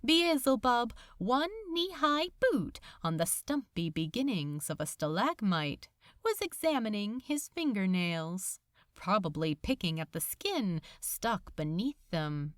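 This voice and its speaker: Female audiobook narrator: unhurried and measured, dramatic, with widely swooping intonation, recorded close and dry. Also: mid-range voice, big pitch swings, no room sound. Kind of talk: scripted fiction narration.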